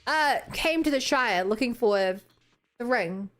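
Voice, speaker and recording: A woman's voice: on the high side, animated, measured pace, fairly bright tone, soft background music. A woman with a New Zealand accent chatting casually as she streams a game. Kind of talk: casual livestream chat over a game.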